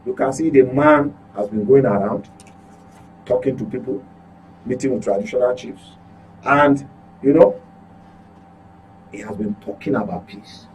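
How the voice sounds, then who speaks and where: A man's voice: mid-range voice, unhurried, pauses often, darkish tone. A Nigerian-accented man giving political commentary on a talk show as a journalist, emphatic, often repeating and correcting his words. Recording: clearly noisy with electrical hum.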